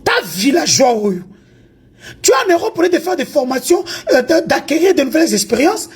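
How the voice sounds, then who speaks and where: High-voiced woman ranting defiantly. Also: sing-song, a little fast. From Congo (DRC). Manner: confrontational, taunting, mixes French and Lingala. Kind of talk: heated online rant.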